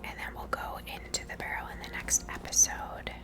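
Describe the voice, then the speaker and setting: Whispering woman, casual ASMR game commentary. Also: normal speed. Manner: casual, musing aloud. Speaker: ASMR gamer, channel host.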